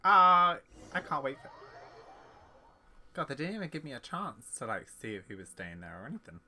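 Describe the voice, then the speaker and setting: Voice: mid-range voice, very animated, normal speed, bright, forceful tone. A game streamer of unclear sex making casual, informal asides while reacting to gameplay.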